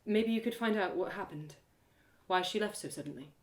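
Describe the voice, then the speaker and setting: A woman voicing an awkward, earnest elf woman in a storybook reading, with a hesitant, halting manner. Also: medium pitch, darkish tone, close to an everyday voice. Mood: sheepish.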